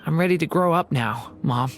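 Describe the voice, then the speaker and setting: Earnest, lowish-voiced young dragon child voiced by a woman. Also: normal speed, soft background music, close to an everyday voice. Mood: resolute. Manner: earnest, wistful. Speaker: voice actor. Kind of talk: scripted game dialogue.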